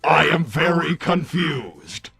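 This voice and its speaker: A man's voice: a little high, lively intonation, takes it slowly, vocal fry, pushed and bright, breathy edge, faint music behind, heavily acted. A man voicing an earnest, forlorn robot son with stiff formal phrasing and a tendency to stammer, in a melodramatic cartoon roleplay. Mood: disappointed. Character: earnest, forlorn robot son seeking his father's respect.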